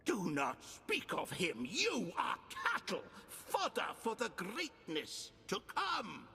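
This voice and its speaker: An actor playing a fanatical male cultist guard who shouts and laughs wildly, heard in a long reverberant space. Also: high voice, wildly swooping pitch, slow pace, vocal fry, breathy edge, heavily acted. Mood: fanatical raving. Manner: wild shouting, manic laughter.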